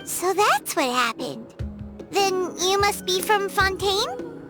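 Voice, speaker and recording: A tiny fairy companion from an anime game, with a very high, squeaky, childlike voice. The intonation swings freely, perky and chatty, cheeky in temper. Music plays clearly under the lines. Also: female voice, sing-song, slow pace, exaggerated voice. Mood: surprised, delighted. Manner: perky, exclamatory, speaks of self in third person.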